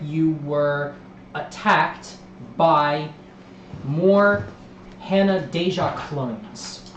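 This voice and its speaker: A man's voice: on the high side, lo-fi sound, a little hiss or hum. Man game master in an echoey room. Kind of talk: livestream tabletop game narration.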